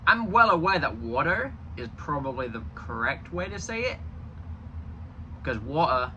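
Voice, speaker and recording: A man's voice: medium pitch, bright, forceful tone, a little hiss or hum, rough recording. A young man from Yorkshire with a Yorkshire accent touched by a Canadian twang, chatting casually in a rambling vlog. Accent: Yorkshire English with a slight Canadian twang. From Yorkshire, northern England. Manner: chatty, rambling, with frequent restarts. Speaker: vlogger.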